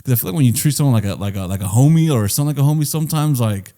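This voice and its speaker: Late-20s guy on a podcast, warm dark voice, rambles and swears a lot. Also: mid-range voice, animated, rapid-fire. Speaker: podcast co-host. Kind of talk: casual podcast chat.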